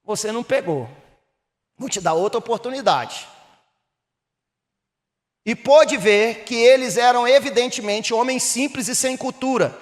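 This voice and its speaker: A Brazilian pastor from Minas Gerais with a Mineiro accent, preaching a sermon that rises to emphatic bursts and drops low again. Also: male voice, a little slow, pauses often, big-room sound. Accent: Mineiro Brazilian Portuguese. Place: church hall.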